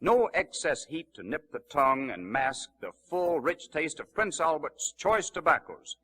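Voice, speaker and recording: Forceful high-pitched 1930s radio announcer man. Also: very animated, measured pace, husky. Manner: emphatic, promotional delivery. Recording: vintage 1930s radio broadcast recording.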